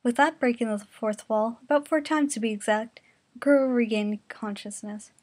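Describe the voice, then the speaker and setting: A woman's voice: on the high side, moderate pace, darkish tone. A woman narrating a comedic story in an informal amateur style, now and then stumbling over words. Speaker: reader narrating fan fiction.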